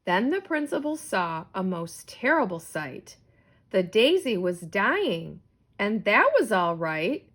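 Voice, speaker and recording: A middle-aged woman reads a children's rhyming story aloud. Her delivery is expressive, with wide swings of intonation. She takes an unhurried pace that lets each line land. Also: medium pitch. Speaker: storybook reader.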